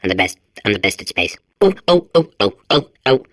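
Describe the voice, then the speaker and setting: A man's voice: lowish voice, big pitch swings, a little fast, fairly bright tone, dry recording, clean studio sound. A man voicing a manic, childlike robot in a video game, stuttering and compulsively repeating words. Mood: frantic excitement. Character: manic, childlike male robot sphere obsessed with space. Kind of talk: video game character acting.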